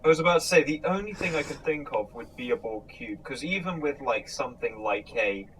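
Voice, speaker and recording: A man hosting a casual discussion, thinking aloud in a halting, tentative way. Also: medium pitch, expressive, fairly bright tone, poor audio. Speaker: host reading audience questions. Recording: clear background noise.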